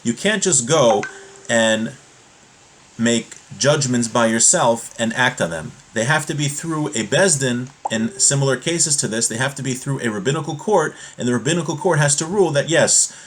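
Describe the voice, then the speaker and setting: A man giving an informal religious lesson, explaining step by step in a halting way and rephrasing his points as he goes. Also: mid-range voice, lively intonation, rough recording. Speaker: religious teacher giving a class.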